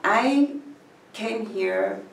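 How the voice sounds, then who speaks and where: Older woman, gravelly and roomy. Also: fairly high pitch, slight background noise. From Switzerland. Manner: measured, phrase by phrase, with pauses. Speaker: community educator. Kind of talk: talking to camera about her village. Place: indoor room in front of a painted mural. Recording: echoey room, mic at a distance.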